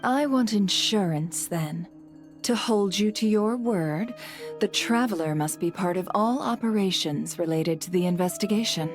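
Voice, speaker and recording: Casting: formal female official acted, medium-pitched, composed and principled, game dialogue with light music. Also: unhurried, lightly acted. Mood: guarded. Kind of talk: video game voice acting.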